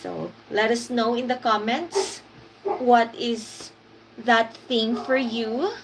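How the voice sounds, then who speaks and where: Woman stream host, higher register, slow easy delivery, greets commenters by name. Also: noisy background. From Philippines. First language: Hiligaynon. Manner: unhurried, reads out viewer comments and greets them. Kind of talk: casual live stream chat.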